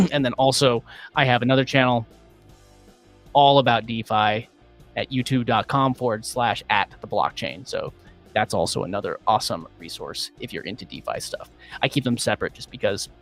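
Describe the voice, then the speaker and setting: A man hosting a casual livestream, upbeat and rambling with many fillers, his voice going a little hoarse. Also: medium pitch, normal speed, fairly bright tone, soft background music. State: losing his voice. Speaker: livestream host.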